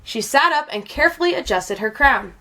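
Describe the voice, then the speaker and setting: A woman reading a children's storybook aloud as an expressive narrator. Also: high voice, fairly quick, poor audio. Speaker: storybook reader.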